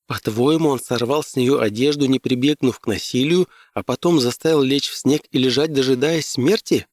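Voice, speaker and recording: A man's voice: mid-range voice, moderate pace, dry recording, clean studio sound, voice pushed up, close to an everyday voice. A man voicing an anxious woman trekking client in an audiobook story. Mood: skeptical. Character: anxious young woman client on an Antarctic trek.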